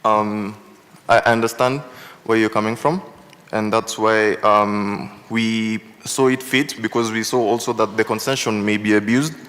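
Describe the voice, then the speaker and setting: A man answering a committee's questions at a hearing, measured and careful, with many pauses. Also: fairly low pitch, fairly even intonation, fairly bright tone. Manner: measured, careful, filler-heavy. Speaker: youth council presenter. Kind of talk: formal reply at a hearing. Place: large hearing room. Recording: long hall reverb.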